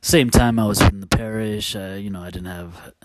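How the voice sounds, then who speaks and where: A man talking casually as a host, telling personal stories in a halting, hesitant, confiding way. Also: fairly low pitch, steady tone, a little hiss or hum.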